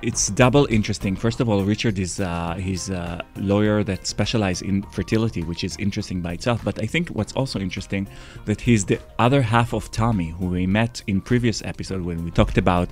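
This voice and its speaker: A man's voice: fairly low pitch, fairly quick, hardly any pauses, soft background music. A man with an Israeli accent co-hosting a podcast in a casual, playful chat with small fillers. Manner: playful, chatty delivery with fillers. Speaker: podcast co-host.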